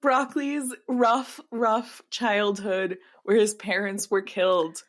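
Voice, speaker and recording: A woman's voice: mid-range voice, steady tone, slow pace. A woman co-hosting a podcast in casual comedic chat, giggly and playfully sarcastic. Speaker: podcast co-host.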